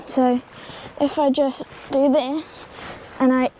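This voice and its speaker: A woman's voice: sits high, flat delivery, slow pace, warm tone, slight background noise, rough recording. Chatty teen girl with a cold. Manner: chatty, rambling, many ums and likes, talks to the pony. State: has a slight cold. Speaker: horse-care vlogger. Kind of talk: casual how-to tutorial. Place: outdoor stable yard beside a pony.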